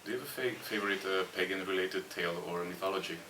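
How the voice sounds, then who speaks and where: A woman interviewer with an unusually deep voice, asking short questions at a quick clip. She sits back from the mic in a reverberant room, with a clear bed of ambience underneath. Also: noisy background. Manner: brief questions, constant soft backchannel murmurs. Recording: roomy distant sound with clear ambience.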